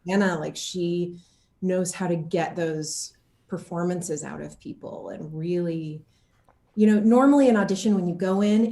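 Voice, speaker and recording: A woman chatting casually as an interviewed actor, telling stories expressively and acting out quotes as she goes. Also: mid-range voice. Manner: animated, expressive storytelling, quoting others as she goes. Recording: echoey room.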